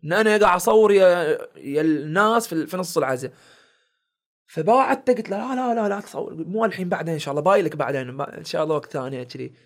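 A young man with a village Bahraini accent tells stories from his life in a relaxed podcast chat. He talks quickly in a slightly raised voice and acts out the lines of the people he meets. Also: a little high. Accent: Bahraini village dialect. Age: young adult. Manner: animated storyteller acting out quoted exchanges. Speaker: guest, content creator and comedian. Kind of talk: casual podcast storytelling.

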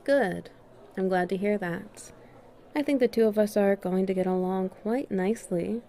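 A woman acts out a self-assured female boss in an intimate audio roleplay. Her voice is dark and mellow, and she speaks with measured control, teasing and flirting while keeping a strict, dominant edge. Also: mid-range voice, close to an everyday voice. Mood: pleased, teasing. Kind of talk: flirty one-sided roleplay dialogue.

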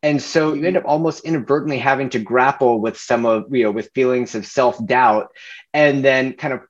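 A man's voice: medium pitch, a little fast. Male podcast host on a video call; reflective, filler-heavy delivery, dull lo-fi sound. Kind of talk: podcast conversation.

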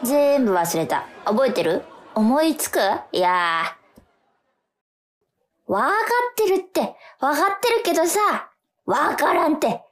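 A woman's voice: high voice, sing-song, slow, heavily acted. A woman playing a bewildered soul in an absurd poetic radio drama, speaking in playful, plaintive fragments.

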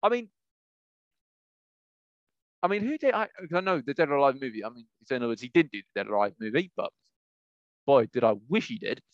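A man's voice: mid-range voice, expressive, fast talker, long pauses, no room sound, dull audio. A man chatting casually as a podcast co-host, rambling and jumping between thoughts. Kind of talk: casual chat about video game movies.

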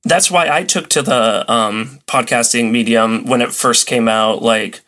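Man, mid-pitched voice, podcast guest, informal storyteller, enthusiastic, a touch theatrical. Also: measured pace, pushed and bright. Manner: enthusiastic, playfully dramatic. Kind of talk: casual chat, storytelling.

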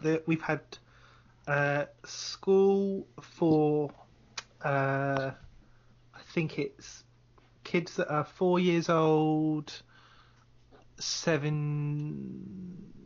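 A man's voice: on the high side, muffled recording. A man chatting casually on a podcast, searching for his words as he goes. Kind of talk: casual chat.

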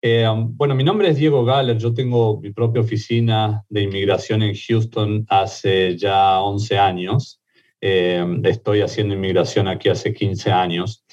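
A man with an Argentine accent talks through a topic in a measured, methodical way, his voice sitting low. He restarts phrases and leans on fillers as he builds each point. The audio is dull and lo-fi. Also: steady tone, darkish tone. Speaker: immigration lawyer guest. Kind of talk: explanatory interview talk.

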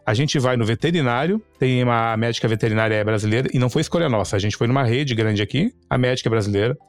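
Fast-talking man, animated storyteller. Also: medium pitch, faint music behind. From Brazil. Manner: animated storytelling with frequent "sabe?" and "cara". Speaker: Brazilian YouTuber living in the US. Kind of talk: casual conversation.

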